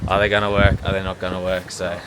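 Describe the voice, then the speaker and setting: An Australian man on an off-road trip, explaining things in a relaxed, casual way. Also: deep voice, fast, background noise. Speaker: fellow 4WD driver. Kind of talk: casual chat. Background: loud background music.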